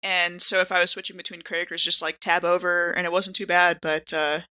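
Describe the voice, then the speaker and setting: Female podcast player, bright and clear-cut tone, relaxed conversational style. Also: on the low side, brisk, low-quality recording. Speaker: actual play podcast cast member. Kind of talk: casual chat.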